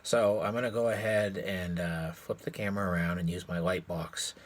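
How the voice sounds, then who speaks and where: Male vlogger, deep and husky, hoarse with a cold, easygoing and rambling, recorded on a phone at home. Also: normal speed. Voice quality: raspy. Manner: relaxed, rambling, with frequent fillers. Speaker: sports card collector vlogger. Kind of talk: casual show-and-tell vlog. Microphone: cell phone.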